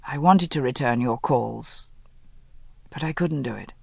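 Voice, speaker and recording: A woman's voice: extremely low pitch, measured pace, gravelly, dark tone, husky, no room sound, pitched below the performer's own voice, full character voice. A woman voicing a grieving upper-class man who speaks in a subdued, clipped, self-reproaching way, read as an audiobook character. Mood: weary.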